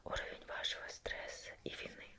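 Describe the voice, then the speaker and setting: A whispered ASMR roleplay voice: a woman playing a female investigator who questions patiently and formally. Every phrase is hushed, measured and gentle. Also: close to an everyday voice. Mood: calm, instructive.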